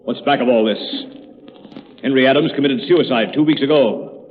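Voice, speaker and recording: An actor playing a brisk, authoritative, excitable police commissioner in a 1930s radio drama. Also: male voice, mid-range voice, narrow, tinny audio, close to an everyday voice. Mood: astonished. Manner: barking, urgent orders. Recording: echoey, thin 1930s radio recording.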